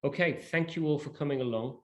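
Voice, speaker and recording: A man presenting a digital library informally to an online session, speaking in a halting, hesitant way. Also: a little high, a little mellow. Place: online video call.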